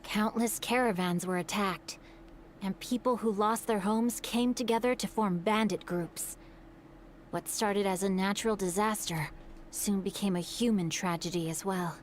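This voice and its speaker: A woman voicing a courteous, bookish young male scholar in a video game, with measured, articulate storytelling. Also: medium pitch, slight background noise, lightly acted. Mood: grave, earnest. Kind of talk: scripted video game dialogue. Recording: music under the voice. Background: music.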